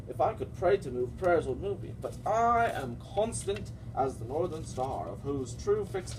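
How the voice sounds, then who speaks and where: A man's voice: a little high, natural voice. A man voicing a pompous, boastful Roman ruler in a comic Shakespeare puppet show, grandiose and sassy. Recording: distant microphone, loud background noise.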